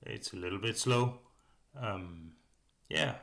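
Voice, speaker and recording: A man giving a casual hobby review as a voice-over, hesitant, with many pauses and filler sounds. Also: on the low side, animated, creaky, a bit bright. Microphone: voice-over microphone.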